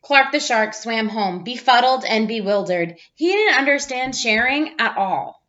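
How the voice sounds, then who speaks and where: A woman reading a children's picture book aloud with expressive storytelling narration. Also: on the high side, measured pace, lo-fi sound.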